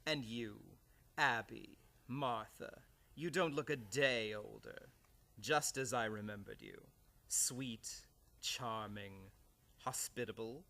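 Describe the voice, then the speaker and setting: A man playing a menacing, murderous prodigal nephew in a radio play, speaking with a slow, ominous drawl. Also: mid-range voice, sharp tone. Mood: smooth menace. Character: menacing grown nephew, a killer with a altered face. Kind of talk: old-time radio play acting.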